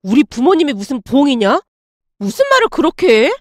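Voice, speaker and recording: A woman's voice: high voice, sing-song, a little fast, no echo, clean studio sound. A woman voicing an exasperated, indignant young man in a dramatized story, blunt and scolding.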